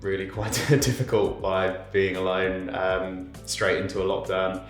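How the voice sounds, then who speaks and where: Deep, hesitant thirties man. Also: flat delivery, a little slow. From London, England. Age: 30s. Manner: hesitant, many ums. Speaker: interviewee. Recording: roomy echo, faint guitar music.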